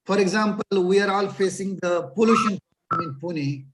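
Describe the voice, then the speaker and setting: A middle-aged man with an Indian English accent speaks in a high voice, sitting a little back from his microphone. He lectures earnestly and gives advice, pausing on fillers as he goes. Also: fairly bright tone, recorded from a distance, slight background noise, rough recording. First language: Marathi. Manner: earnest, advising, many fillers. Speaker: guest lecturer. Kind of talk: lecture on a video call.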